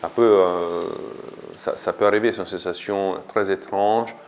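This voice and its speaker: A man's voice: low-pitched, fairly even intonation, measured pace, slight background noise. A man, a sculptor speaking French in a documentary interview, thoughtful and hesitant, often repeating words as he searches for them. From Italy. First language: Italian.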